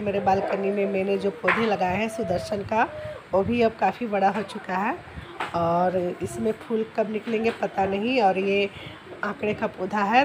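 A woman in her thirties from Jharkhand, India, her voice hoarse from a cold, chatting homely and direct to viewers as she vlogs at home and outdoors on a phone held at arm's length. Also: mid-range voice, heavy background noise. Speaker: vlogger. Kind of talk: casual vlog to camera. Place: home and nearby street, indoors and out.

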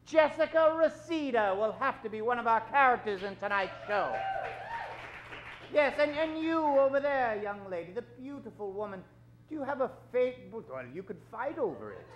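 A man's voice: extremely high pitch, expressive, normal speed. A middle-aged man hosting a live improvised radio show on a theater stage, in a theatrical, courteous old-time announcer style. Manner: theatrical, hesitant, courteous showman patter. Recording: dull, lo-fi live stage recording.

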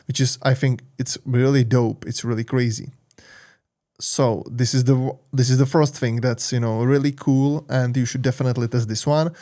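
Czech-accented man rambling through a software demo. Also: mid-range voice. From Czech Republic. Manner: loose and rambling, many fillers and repeated "et cetera". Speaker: tech channel host. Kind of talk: screen-share software walkthrough. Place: home studio with purple lights. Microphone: large studio mic on arm.

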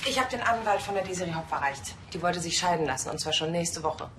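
An actress playing a brisk, businesslike young policewoman. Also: fairly low pitch, expressive, background noise, lightly acted. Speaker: actor in a TV crime comedy.

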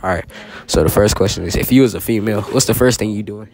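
A man's voice: fairly low pitch, quick pace, darkish tone. A teenage male student doing casual, slangy street-style interviews at school. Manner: slangy, informal. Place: high school classrooms and cafeteria. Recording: busy crowd chatter behind.

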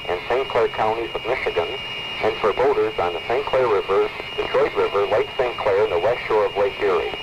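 A muffled vintage radio recording swamped in loud noise carries an American man's low voice. He reads official weather bulletins in a deliberate, formal announcer style. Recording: noisy muffled 1979 radio tape.

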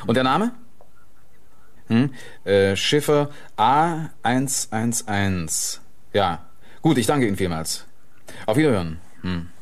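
Male courteous, inquisitive radio-play detective: low pitch, animated intonation. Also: slow, long pauses. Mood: attentive. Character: curious, polite male detective sergeant. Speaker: actor in a radio crime play. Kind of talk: radio crime drama acting. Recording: noisy radio play recording.

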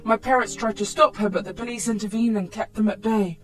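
A woman narrates a scripted first-person drama at a medium pitch. Faint music runs behind her storytime reading. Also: steady tone, brisk.

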